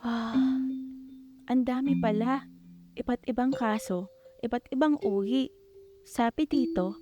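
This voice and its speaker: A woman voicing a shy, anxious young female student who speaks politely and haltingly with a stutter and a Filipino accent in an animated short film. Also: a little high, dull audio, lightly acted. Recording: music behind the voice.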